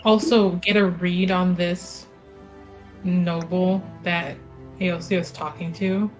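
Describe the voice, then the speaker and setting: A woman's voice: lowish voice, little pitch movement, slow, faint music behind. A woman playing a tabletop game, talking casually at the table.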